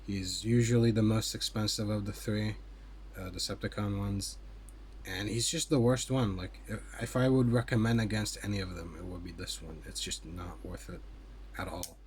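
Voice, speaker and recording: A man's voice: on the low side, normal speed. A man giving a casual hands-on toy review in a rambling, hesitant, conversational way. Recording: clear steady background noise.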